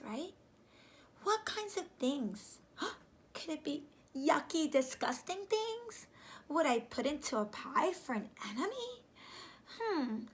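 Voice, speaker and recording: A high-voiced woman reads a picture book to young children as their teacher would. Her delivery is slow and very animated, and she pauses to put questions to her listeners. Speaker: teacher reading aloud to pupils. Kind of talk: children's storybook read-aloud. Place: small furnished room indoors, seated on sofa.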